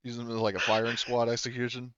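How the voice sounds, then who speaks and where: A man's voice: medium pitch, completely flat delivery, fast, highs cut off, a crowd in the background. A man hosting a tabletop game session as game master, chatting casually with his players. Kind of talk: casual post-game chat.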